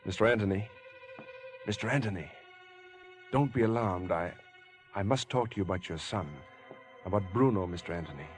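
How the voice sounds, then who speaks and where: An American actor playing a decent, agitated young man cornered by murder, in tense, halting, earnest 1950s radio drama style. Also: fairly low pitch, animated, lightly acted. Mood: earnest, uneasy. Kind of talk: 1950s radio suspense acting. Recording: vintage 1950s radio recording with music.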